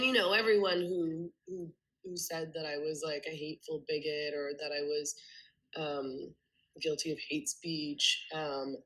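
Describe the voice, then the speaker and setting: Woman from canada with a cold, low voice, stuffy, lots of ums, goes on and on earnestly. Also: a bit bright, rough recording. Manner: earnest, explains at length with many ums and restarts. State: congested with a cold. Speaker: podcast guest, journalist. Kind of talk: remote interview conversation.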